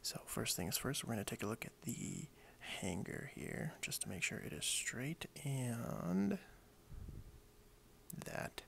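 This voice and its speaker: A man speaking softly in a breathy half-whisper, hushed and friendly, role-playing a toy shop owner for ASMR. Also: mid-range voice, sing-song, a little hiss or hum. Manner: hushed, unhurried, friendly, hesitant phrasing. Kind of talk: soft-spoken ASMR role play. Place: indoors at a wooden desk.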